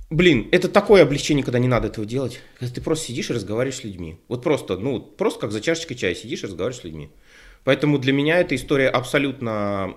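A man co-hosting an online show, chatting casually and rambling with many fillers and restarts over a call microphone. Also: mid-range voice. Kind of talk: casual panel discussion.

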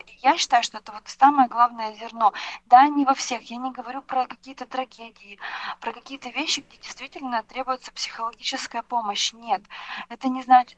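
Female panelist, slightly high pitch, conversational and reflective, halting phrasing with emphatic repeats. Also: poor audio.

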